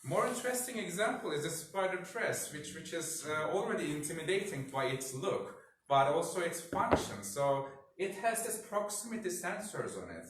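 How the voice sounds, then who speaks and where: A man's voice: fairly high pitch, lively intonation, moderate pace. A man giving an academic talk as a researcher, speaking hesitantly and often repeating words. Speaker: researcher presenting at a symposium. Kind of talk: academic conference talk, partly improvised. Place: indoor symposium room. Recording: very echoey room, distant mic, faint noise.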